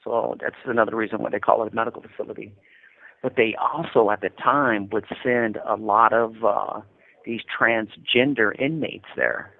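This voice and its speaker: A man's voice: on the low side, steady tone, normal speed, creaky. An American man in his fifties speaks over a thin, narrow telephone line. He tells his experiences in a conversational, explanatory way, often circling back to repeat a point. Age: 50s. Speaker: incarcerated interviewee calling from prison. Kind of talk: casual phone interview, recounting experiences. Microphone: prison telephone.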